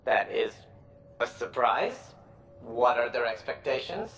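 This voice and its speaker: An actor playing a prissy, officious alien director in a video game, with clipped, pompous delivery. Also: male voice, medium pitch, very animated, slow, fairly bright tone, a little hiss or hum. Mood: affronted, officious. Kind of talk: video game cutscene dialogue.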